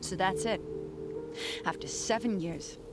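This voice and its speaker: A woman's voice: mid-range voice, measured pace, lightly acted. A woman acting a weary, proud and heartbroken police detective in an audio drama. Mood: hurt, bitter. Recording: loud dramatic music behind the voice.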